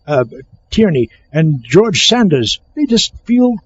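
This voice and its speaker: A man acts a fussy, self-important horror film buff in a comic audio show. His voice sits fairly high and swings about as he lectures in a pompous, easily exasperated way. The recording is muffled, with a slight noise behind it. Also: very animated, takes it slowly. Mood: pompous lecturing. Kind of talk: comic scripted film-history monologue.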